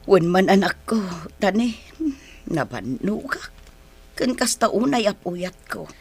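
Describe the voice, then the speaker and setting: Radio drama: long-suffering older mother, husky voice, very animated pitch, worn-out and exasperated. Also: measured pace, full character voice. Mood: weary. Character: weary, long-suffering older mother caring for sick husband.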